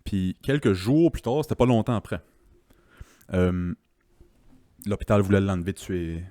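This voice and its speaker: A man's voice: sits low, lively intonation, normal speed, dark tone, no echo. A man with a Quebec French accent hosting a podcast in loose, joking, casual chat. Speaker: podcast host. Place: podcast studio.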